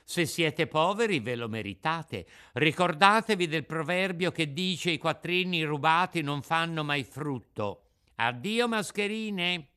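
A man's voice: fairly high pitch, lively intonation, unhurried, bright, forceful tone, smooth, voice pushed up. A man voicing a spirited, cocky wooden puppet boy in an emphatic, declamatory storybook manner. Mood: scornful, taunting.

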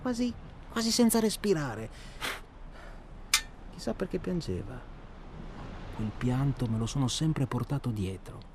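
A man's voice: medium pitch, lively intonation, unhurried, long pauses, natural voice. Actor as bookish, insistent man over noisy ambience. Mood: hushed, reflective. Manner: bookish, insistent, talks at length. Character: well-spoken, self-absorbed male hunting traveller, obsessively confiding. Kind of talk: naturalistic radio drama dialogue. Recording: clear ambient noise behind.

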